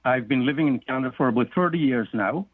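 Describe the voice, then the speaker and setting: A man's voice: medium pitch, husky, dry recording. Man on a tinny phone line. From Guyana. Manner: measured, explanatory storytelling. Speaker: author and guest. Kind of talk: interview answers.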